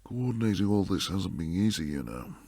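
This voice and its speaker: A man playing a protective, stern but secretly tender bodyguard boyfriend in an intimate roleplay ASMR, low and breathy, slipping into whispers. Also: very animated, no room sound. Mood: softening, intimate. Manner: slow, hushed delivery sliding into whispers. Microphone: close, dry microphone.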